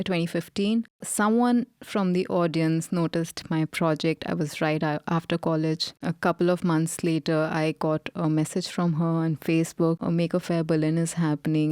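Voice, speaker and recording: A woman's voice: sits low, a little mellow, dry recording, clean studio sound. A woman, a designer talking through her work in an earnest, rambling way with many "you know"s on a remote podcast call. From India. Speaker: guest designer. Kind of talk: conversational interview.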